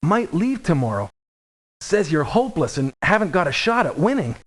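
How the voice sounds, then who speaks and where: A woman's voice: lowish voice, big pitch swings, normal speed. A woman playing an anxious, earnest rookie mercenary in a video game, pleading and hesitant in manner. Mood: timid, upset. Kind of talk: video game character lines.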